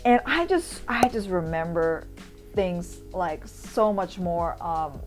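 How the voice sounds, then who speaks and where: A woman from Indonesia talks in a slightly low voice with soft music playing behind her. Her delivery is informal and chatty, like a vlogger recording a casual voice-over tour. She rambles loosely from one thought to the next. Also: expressive, takes it slowly. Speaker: vlogger, urban sketcher. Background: background music.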